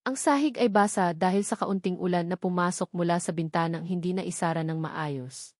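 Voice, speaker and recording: A woman's voice: on the low side, a little fast, a little mellow, dry recording, clean studio sound. A woman storyteller reading a scripted dramatic story as narration.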